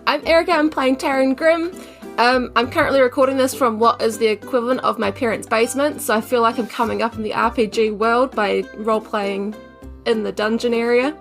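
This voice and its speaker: Woman, highish voice, casual joking game chat, light-hearted, soft music behind. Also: steady tone, a little fast. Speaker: tabletop game player. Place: basement room at home.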